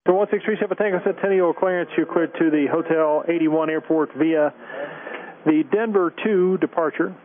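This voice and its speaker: Higher-voiced controller on tinny radio. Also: male voice, normal speed. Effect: aviation radio. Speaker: air traffic controller. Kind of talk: radio clearance readout. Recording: tinny narrowband tower radio.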